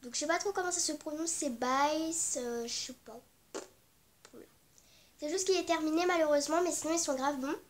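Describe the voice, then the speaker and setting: A woman's voice: high voice, a little fast. A young teenage girl from with a cold, chatting casually and rambling about her beauty products, apologetic and full of fillers. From Réunion. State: has a cold, stuffy. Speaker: beauty vlogger. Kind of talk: casual beauty vlog chat.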